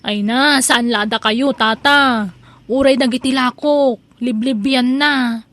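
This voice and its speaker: A woman's voice: sits high, fairly even intonation, takes it slowly, a bit bright, dry recording. A woman with an Ilocano accent acting a humble, chiding village mother in a comedic audio drama. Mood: complaining. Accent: Filipino, Ilocano.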